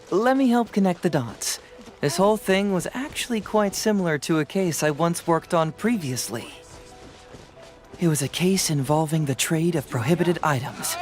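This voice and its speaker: An actor voicing a confident, composed young detective in a video game, breezy and probing. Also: male voice, on the high side. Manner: self-assured, probing.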